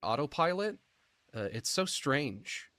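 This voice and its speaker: A man speaking haltingly with a squeaky, mucus-filled voice and shortness of breath, often slowing down mid-sentence, with dry self-mocking humor. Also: big pitch swings, bright, forceful tone, no echo. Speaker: video creator describing his illness. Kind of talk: personal vlog monologue.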